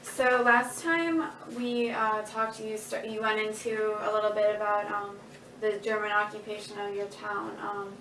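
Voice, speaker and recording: A woman's voice: mid-range voice, level tone, measured pace, few pauses. A young woman, a student interviewer, asking questions hesitantly with many fillers. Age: young adult. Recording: roomy, distant mic, background noise.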